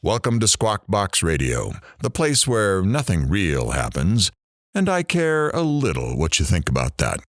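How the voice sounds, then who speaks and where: A man's voice: low-pitched, huge pitch swings, a little slow, dry recording, studio quality, soft background music, full character voice. A man playing a brash, sardonic middle-aged radio talk show host in an audio drama, with showy, theatrical patter.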